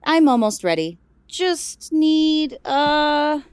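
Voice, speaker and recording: An actress plays a young huntress in a fan audio drama, scatterbrained and earnest. Her voice sits fairly high and swings freely up and down. She takes her time, hesitant and informal, with feelings close to the surface. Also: very animated, very slow, no echo, full character voice. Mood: flustered, casual.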